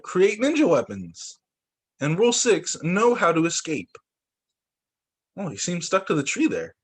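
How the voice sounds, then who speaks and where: A young male teacher reads picture books aloud over a video call, his slightly high voice rising and falling in lively swoops. There is a faint husky edge to his voice, and he keeps stopping to add small surprised comments. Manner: reads aloud with asides and exclamations. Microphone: computer mic on a video call.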